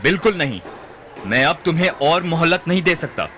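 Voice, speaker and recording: A man's voice: on the high side, lively intonation, moderate pace, bright, forceful tone, slight background noise. A man playing a greedy, ruthless moneylender in a moral-story cartoon, stern and threatening. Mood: menacing. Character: greedy, ruthless male moneylender, cold and menacing. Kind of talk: animated moral-story dubbing.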